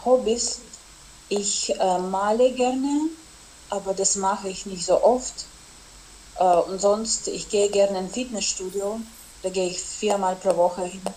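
A woman's voice: mid-range voice, fairly even intonation, slow, warm tone, a little hiss or hum, rough recording. Young woman with a Russian first language. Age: young adult. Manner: hesitant, with frequent fillers. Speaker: interview guest, exchange student. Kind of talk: casual interview answers.

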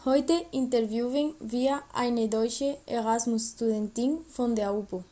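A young female student hosts a podcast and reads her lines carefully. Her voice sits fairly high but sounds mellow and soft. She speaks very slowly. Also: steady tone. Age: young adult. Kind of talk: scripted podcast intro.

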